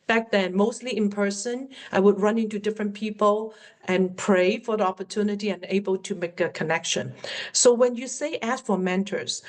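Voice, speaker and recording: A middle-aged woman with a Cantonese accent, a native Cantonese speaker, answering questions as a workplace communication coach in an online webinar. She talks earnestly and encouragingly and slows down to stress her key points. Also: medium pitch. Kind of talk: webinar Q&A teaching.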